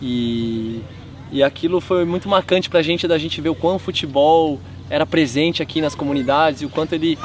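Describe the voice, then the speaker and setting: Young man, documentary interviewee outdoors, relaxed halting delivery, distant mic, noisy background. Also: on the high side. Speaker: project cofounder. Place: outdoors by a sports field.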